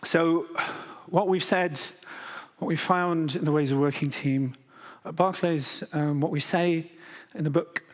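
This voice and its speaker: British man in his fifties, keynote speaker, measured delivery with many fillers, slightly high voice. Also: steady tone. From Britain. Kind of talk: conference keynote talk. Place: large conference hall. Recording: hall reverb.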